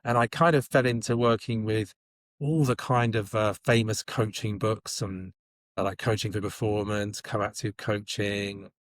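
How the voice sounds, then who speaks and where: A man who works as a coach and publisher, talking in a casual, halting way with many pauses. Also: on the low side, steady tone, dry recording, studio quality. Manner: hesitant, lots of uh and restarts. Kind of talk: casual conversation.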